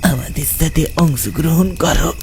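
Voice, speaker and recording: A man's voice: on the high side, unhurried, darkish tone, a little hiss or hum, voice pushed up. A man voicing a chilling, insistent ghostly pallbearer in a horror cartoon story. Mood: eerie, commanding.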